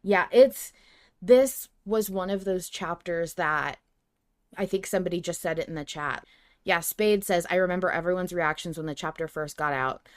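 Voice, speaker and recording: A woman hosting a fan recap podcast, chatting in a gushing, sweary, excited manner. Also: on the low side, moderate pace, no echo, studio quality. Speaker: podcast host.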